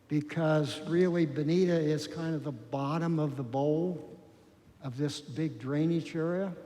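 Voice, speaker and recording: Insistent man with a warm, higher voice. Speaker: council member. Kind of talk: council meeting discussion. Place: council chamber. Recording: long reverb of a council chamber.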